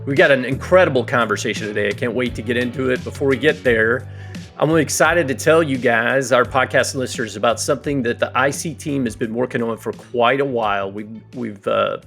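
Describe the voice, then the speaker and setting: A man's voice: medium pitch, brisk, gravelly, fairly bright tone, faint music behind. A man hosting a church-leadership podcast, welcoming a guest with upbeat enthusiasm. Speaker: podcast host.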